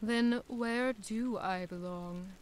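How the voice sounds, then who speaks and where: A woman's voice: on the high side, takes it slowly, background noise. A woman playing a cold, wary and lonely warrior goddess in an audio drama, speaking in a guarded, terse way. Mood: forlorn.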